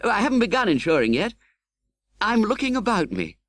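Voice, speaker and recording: A man playing a genteel, candid, cheerfully optimistic young gentleman in an audiobook, chatty and slightly hesitant. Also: high voice, fairly quick, dry recording, clean studio sound, voice pushed up. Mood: breezy, hopeful. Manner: animated, chatty, hesitating with little 'uh's. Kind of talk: audiobook character acting.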